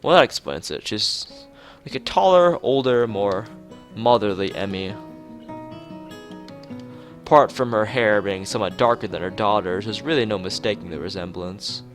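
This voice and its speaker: A man reading a visual novel aloud as a let's player, casually, with halting, rambling asides. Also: fairly low pitch. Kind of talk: casual game narration with side commentary. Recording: music behind the voice. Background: game music.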